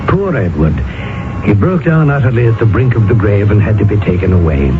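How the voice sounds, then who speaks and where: Reflective friend narrating, dramatic, hugely varied intonation, radio drama, tinny sound, loud music. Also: male voice, on the low side, very animated. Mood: sombre, reflective. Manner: dramatic, measured storytelling. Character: concerned, reflective colonial-era friend narrating his tale.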